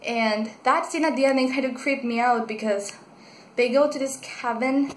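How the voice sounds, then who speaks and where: A young woman from Mexico, reviewing a book in a casual vlog, gushing and excitable with many fillers and restarts. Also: sits high, normal speed, a little hiss or hum. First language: Spanish. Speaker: book vlogger. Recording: echoey room.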